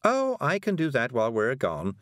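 A man's voice: mid-range voice, big pitch swings, normal speed, dry recording, clean studio sound, pitched above the performer's own voice, close to an everyday voice. A man voicing a kindly, fretful, busy woman witch from a storybook, in a fussy, earnest manner. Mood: cheerful.

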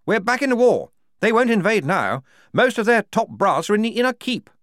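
A man voicing a blustering, hearty young army major for an audiobook, booming and jovial, tacking "eh?" onto his lines. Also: big pitch swings, normal speed, fairly bright tone, no room sound, studio quality. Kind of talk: audiobook character voice.

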